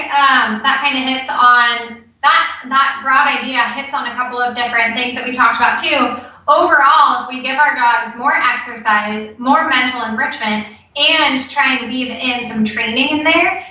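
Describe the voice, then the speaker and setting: A woman's voice: fairly high pitch, sharp tone. A woman moderating a casual online panel, summing up with hesitant phrasing, recorded echoey, dull and distant over a call.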